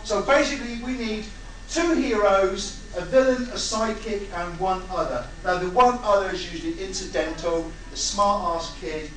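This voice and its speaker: A man's voice: high voice, moderate pace, a bit bright, slight background noise. Man hosting in a very echoey hall. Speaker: panel host gathering audience suggestions. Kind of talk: casual live brainstorming with an audience. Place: convention hall with live audience. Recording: echoey hall from far off, crowd chatter.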